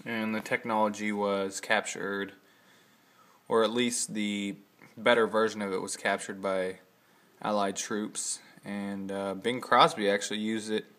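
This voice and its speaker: A man talks casually in his living room, showing off hobby gear without a script. His voice sits on the low side yet has a bright, forward edge. He is informal and rambles, pausing hesitantly as he looks for the next thought. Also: flat delivery. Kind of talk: casual off-the-cuff show-and-tell.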